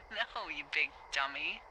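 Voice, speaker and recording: A veteran woman lookout in a narrative video game, heard over a two-way radio with noticeable ambient noise behind her. She is teasing and warm-hearted, with an easy, conversational delivery that stays natural and unforced. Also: low-pitched, full character voice, rough recording. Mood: playful.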